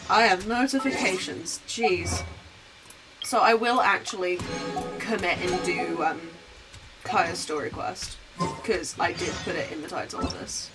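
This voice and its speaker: A woman's voice: lowish voice, unhurried. A woman game streamer chatting casually over gameplay, rambling in a giggly way. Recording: slightly distant mic, music behind. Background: game music.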